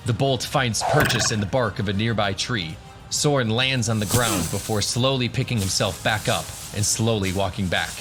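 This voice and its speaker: A man's voice: on the low side, normal speed, music in the background. A man narrating a scripted fantasy adventure as the storyteller of an audio drama. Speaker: narrator, game master.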